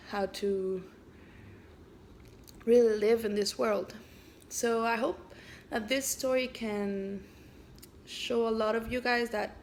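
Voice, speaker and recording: A woman raised in Canada whose first language is French, introducing and then reading aloud her own story in a hesitant way, with frequent pauses and fillers. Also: fairly high pitch, slight background noise.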